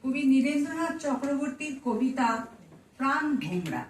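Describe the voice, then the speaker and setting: A woman reciting poetry in a measured way, phrase by phrase. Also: high-pitched, big pitch swings, slight background noise. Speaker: recitation performer. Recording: echoey room, distant microphone.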